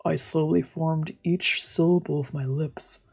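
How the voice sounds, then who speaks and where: A woman streamer with a breathy voice reading visual novel text aloud as dramatic narration. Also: low voice, steady tone, measured pace, darkish tone. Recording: game music behind the voice. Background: game music.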